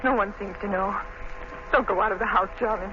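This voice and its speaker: A woman's voice: medium pitch, brisk, close to an everyday voice. A woman playing an anxious, frightened mother in an old radio drama. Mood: afraid. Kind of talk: 1940s radio acting. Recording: dull, noisy old radio recording.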